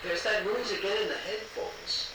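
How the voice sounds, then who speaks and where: An actor playing a crude, excitable crew member in found-footage style game dialogue. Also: male voice, high-pitched, normal speed, lightly acted. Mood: wary banter. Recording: echoey, distant mic, clear background noise.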